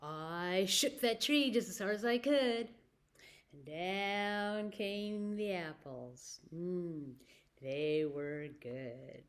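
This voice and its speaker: A woman's voice: on the low side, extremely slow. A woman presenting a children's story time, telling stories and rhymes and speaking directly to young listeners with simple prompts.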